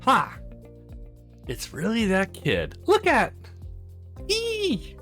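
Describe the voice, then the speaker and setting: A man's voice: high voice, huge pitch swings, very slow, sharp tone, faint music behind. A man hosting a let's play, reading visual novel dialogue aloud, acting out lines and adding casual commentary. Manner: acts out characters' lines with exclamations.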